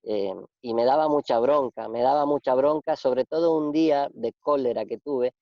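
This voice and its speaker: A man in his forties from Argentina, with an Argentine Spanish accent, talking reflectively in a casual interview, pausing and restarting often. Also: mid-range voice, no room sound. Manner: reflective, halting with many restarts and fillers. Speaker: interview guest. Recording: dull, dry lo-fi sound.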